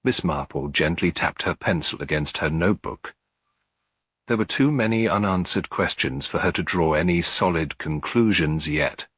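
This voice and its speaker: A man's voice: sits low, normal speed, fairly bright tone, husky, no echo, clean studio sound. A man reading a classic detective story as a scripted audiobook narration.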